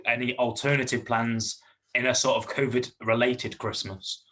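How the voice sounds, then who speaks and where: Bright-voiced Welsh-raised host. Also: male voice, medium pitch, flat delivery, normal speed, slight background noise. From Wales. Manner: hesitant, restarts and fillers. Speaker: podcast host. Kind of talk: interview questions.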